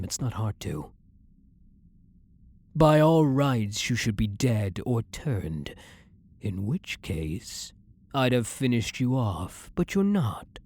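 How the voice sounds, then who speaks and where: A man playing a blunt, dominant, centuries-old vampire hunter in an intimate ASMR roleplay, speaking deliberately and breathily with a commanding, interrogating manner. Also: mid-range voice, a little mellow, dry recording.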